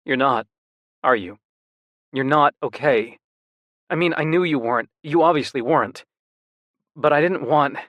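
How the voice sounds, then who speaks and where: A man's voice: on the high side, a little slow, pauses often, fairly bright tone, no echo, pitched above the performer's own voice. A man voicing a worried, protective younger brother, urgent and pleading, swearing when upset.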